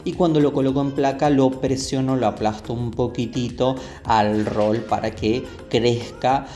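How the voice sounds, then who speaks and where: Man, Rioplatense accent, slow pace, mellow tone, cooking instructor, step-by-step explanation. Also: medium pitch, fairly even intonation. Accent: Rioplatense Spanish. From Argentina. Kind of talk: step-by-step recipe demonstration. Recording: roomy echo, music behind. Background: music.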